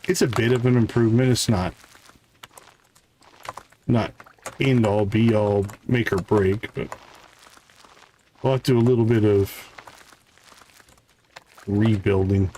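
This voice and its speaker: A man's voice: lowish voice, steady tone, slow, pauses often, warm tone, a little hiss or hum, poor audio. A man giving casual live game commentary as a streamer, thinking aloud and chatting to viewers.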